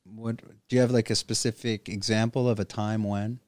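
A man hosting a podcast, asking questions in casual chat with halting restarts. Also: on the low side, flat delivery, darkish tone, dry recording. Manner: hesitant questioner, many restarts. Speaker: podcast host.

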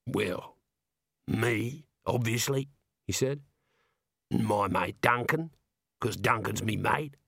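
A man's voice: fairly low pitch, takes it slowly, vocal fry, pauses often, fairly bright tone, breathy edge, dry recording, clean studio sound, exaggerated voice. A man voicing a shifty, pushy male street hawker, talking in a wheedling sales patter. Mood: musing. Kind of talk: audiobook character reading.

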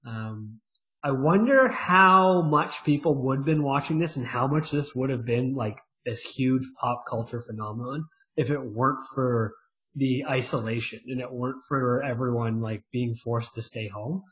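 Male podcast co-host, mid pitch, joking and self-mocking, slightly muffled audio. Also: moderate pace, fairly bright tone. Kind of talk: casual podcast chat. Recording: dull lo-fi sound.

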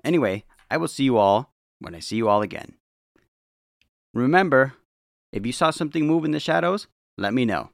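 A man's voice: mid-range voice, animated, a little fast, creaky, long pauses, no echo, clean studio sound. A man hosting a solo podcast, musing in an earnest, dramatic way as he speaks directly to listeners. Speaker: podcast host. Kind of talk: solo podcast monologue on the paranormal.

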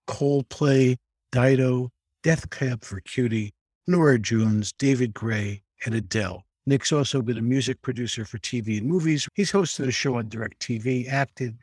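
Husky, measured male podcast host. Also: mid-range voice, darkish tone, no room sound. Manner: measured, articulate, reads from a script. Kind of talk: scripted intro then interviewing.

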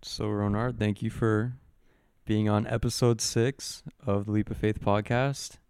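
A man's voice: lowish voice, steady tone, measured pace, darkish tone, smooth, no echo. A young man hosting a podcast, talking in an earnest, encouraging, casual way with a lot of "like". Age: young adult. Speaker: podcast host.

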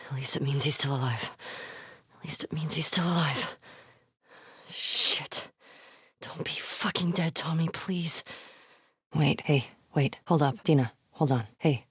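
A woman's voice: low voice, slightly rough, dry recording, clean studio sound, exaggerated voice. An actress playing a tough, sardonic young American woman survivor in a video game, naturalistic and clipped, often speaking under her breath. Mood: worried, hushed, then earnest, nervous.

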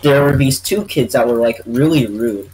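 A man's voice: mid-range voice, moderate pace, darkish tone, rough recording. A young man co-hosting a podcast, joking, teasing and telling stories in casual chat. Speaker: podcast co-host.

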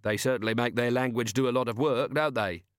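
A man's voice: mid-range voice, brisk, smooth, no echo, close to an everyday voice. A man voicing a weary, sardonic middle-aged male commander with dry, sarcastic remarks.

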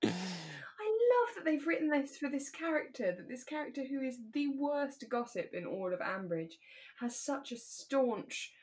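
A woman's voice: high voice, sing-song, measured pace, darkish tone. Woman co-hosting a chatty podcast. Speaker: podcast co-host. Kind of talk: casual chat about a radio drama.

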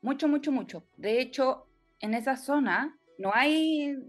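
Chatty woman, higher voice. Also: animated, unhurried, soft background music. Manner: chatty, laughs often, speaks in loose run-on stretches. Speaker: podcast guest. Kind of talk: casual conversational interview.

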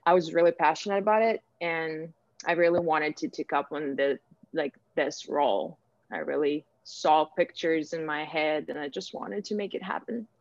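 A woman's voice: low voice, moderate pace. A woman from Ukraine with a Ukrainian accent, answering interview questions casually and thinking aloud as she goes. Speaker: interviewee, actor and director. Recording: echoey room, dull lo-fi sound.

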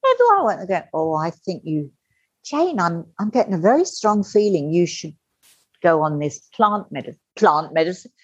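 A middle-aged woman telling her personal story as a podcast guest, candid and animated, acting out the voices she quotes. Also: on the low side, moderate pace, breathy edge, dry recording. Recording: dull lo-fi dry sound.

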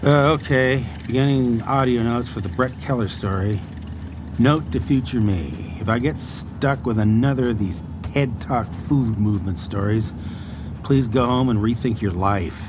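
An actor playing a cynical food critic and podcaster with a husky voice in an audio drama. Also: male voice, medium pitch, measured pace, background noise, lightly acted. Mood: grumbling, sardonic.

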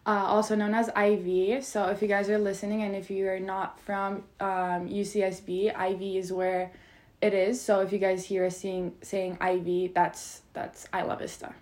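A woman's voice: mid-range voice, flat delivery, normal speed, a little mellow. A young woman from Turkey with a Turkish accent, co-hosting a podcast in chatty casual talk full of "like". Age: young adult. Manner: chatty, lots of filler "like". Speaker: podcast co-host. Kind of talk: casual chat.

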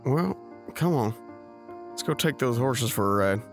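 An actor playing an earnest, concerned young companion in an audio drama, speaking hesitantly and questioningly. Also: male voice, mid-range voice, animated, unhurried, faint music behind. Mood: anxious, caring.

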